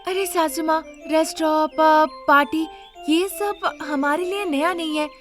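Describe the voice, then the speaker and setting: A woman's voice: sits high, fairly even intonation, unhurried, over music, voice pushed up. A woman voicing an eager, persuasive young celebrity daughter-in-law in an emphatic, pleading way, in a story. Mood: insistent.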